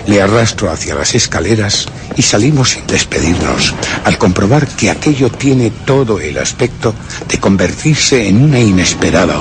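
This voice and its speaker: A man's voice: sits low, background noise. A man with a Castilian Spanish accent playing a scheming, brooding murderer who narrates theatrically in a radio suspense drama. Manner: theatrical, literary, ominous, dropping to whispers.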